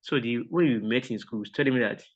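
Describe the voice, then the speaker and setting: A man's voice: medium pitch, fast. Polite, hesitant man on a dull call line. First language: Yoruba. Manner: hesitant, polite, many restarts, says sir often. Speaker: mentorship participant. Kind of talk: sharing a reflection on a video call. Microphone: online call audio.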